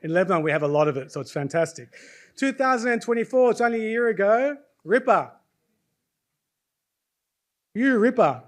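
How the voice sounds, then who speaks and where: A man's voice: sits high, animated, moderate pace, pauses often. Chatty Australian man hosting an audience. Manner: chatty, keeps checking in with "right?", quizzes the crowd. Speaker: host of a student presentation event. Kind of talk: lively event hosting before an audience. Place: university lecture hall.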